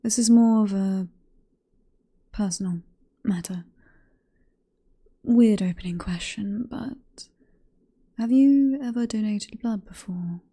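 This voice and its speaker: A British woman voicing a possessive, teasing vampire professor in an ASMR roleplay, in a soft, breathy whisper with a coaxing, unhurried manner. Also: medium pitch. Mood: teasing, reassuring. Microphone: close ASMR microphone.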